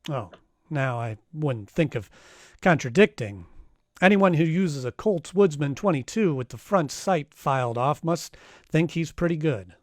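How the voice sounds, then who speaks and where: An actor playing a tough, wry private detective in a 1940s-style radio drama, narrating and trading lines. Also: male voice, fairly high pitch, expressive, unhurried, no echo, studio quality. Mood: cool, needling.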